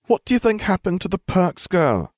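A man's voice: sits high, sing-song, moderate pace, a little mellow, no room sound, clean studio sound, pitched above the performer's own voice. A man voicing a smug schoolboy wizard. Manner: haughty, self-satisfied.

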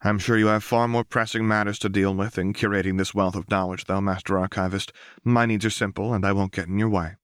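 A man's voice: lowish voice, fairly even intonation, smooth, dry recording, clean studio sound, close to an everyday voice. Man voicing a poised, formal female operative. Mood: warm, polite. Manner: polite, formal, measured diction.

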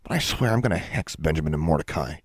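A man's voice: extremely low pitch, expressive, fast talker, no room sound, studio quality. A man voicing a defiant, blunt American schoolgirl in an audiobook. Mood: disgusted.

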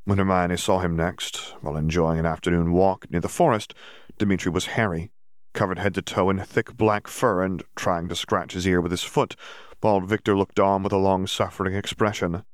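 Low-voiced man narrating fiction. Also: level tone, moderate pace, no echo, studio quality. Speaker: audiobook narrator.

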